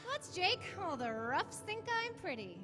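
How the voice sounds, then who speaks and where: A woman as a lively, sassy 1920s flapper. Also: extremely high pitch, unhurried, over music, exaggerated voice. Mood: flirty, eager. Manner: sassy, slangy. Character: brash, plucky, free-spirited 1920s flapper woman. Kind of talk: theatrical live audio drama acting.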